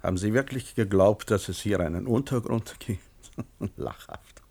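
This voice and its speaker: An actor playing a condescending company loyalist and betrayer in a German radio play. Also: male voice, on the low side, a little slow, gravelly, mellow. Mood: smug. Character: treacherous, condescending company loyalist posing as helper.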